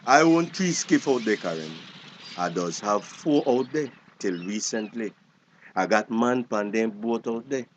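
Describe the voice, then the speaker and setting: An actor playing a plain-spoken older fisherman with a Belizean Creole accent, talking in deliberate, drawn-out phrases in a drama. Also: fairly low pitch, a little hiss or hum. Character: seasoned old fisherman, plain-spoken and practical. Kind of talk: naturalistic dialect radio drama acting.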